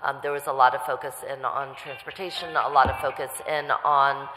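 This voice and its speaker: Very low-voiced councilwoman in an echoey hall. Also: flat delivery, sharp tone, faint music behind. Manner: measured, frequent fillers. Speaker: city councilwoman. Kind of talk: remarks at a public hearing. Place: city council hearing room. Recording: echoey council chamber with long reverb.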